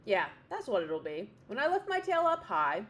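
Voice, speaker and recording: A woman's voice: mid-range voice, big pitch swings, moderate pace, fairly bright tone. A woman voicing a sly male fox general who talks in a casual, offhand way. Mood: scheming.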